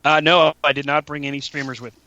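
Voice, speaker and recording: A man hosts a wrestling podcast in a casual, joking way, often poking fun at himself. His voice is bright and forceful, sitting a little high. The recording is dry and lo-fi. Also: flat delivery, fast, no echo. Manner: joking, laughs often, self-mocking. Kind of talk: casual chat. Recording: dull lo-fi podcast audio.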